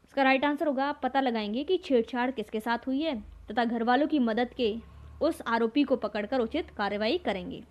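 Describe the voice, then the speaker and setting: Female exam-prep tutor, higher-pitched, methodical read-aloud of questions and answers. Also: normal speed, a little mellow, lo-fi sound.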